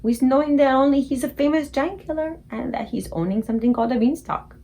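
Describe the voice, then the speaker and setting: A woman's voice: high-pitched, a little fast, dark tone, pitched above the performer's own voice. A woman voicing a kindly old male giant who whispers in quaint, broken grammar. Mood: hushed.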